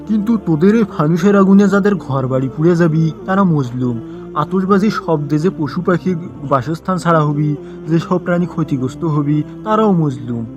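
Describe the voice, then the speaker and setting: A man plays a moralizing cartoon schoolteacher with a high voice and a Bogura accent from northern Bangladesh. He scolds with sarcasm and pointed rhetorical questions, over faint music. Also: highs cut off. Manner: mock agreement, rhetorical questions, preachy. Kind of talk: comic cartoon dialogue turning into sermon.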